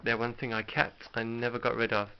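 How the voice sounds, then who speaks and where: A middle-aged British man with gravel in an otherwise smooth voice hosts a casual show-and-tell. He rambles along in an understated, hesitant way. The sound is dull and lo-fi, like a home recording. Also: mid-range voice, completely flat delivery, brisk. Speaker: video host showing a tape collection.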